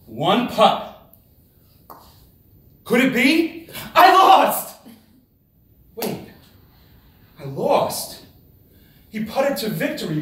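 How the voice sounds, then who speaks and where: Animated man storytelling in a very echoey room. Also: high voice. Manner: theatrical, dramatic pauses and exclamations. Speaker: contest speaker. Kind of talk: rehearsed humorous storytelling speech. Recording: very echoey room, distant microphone.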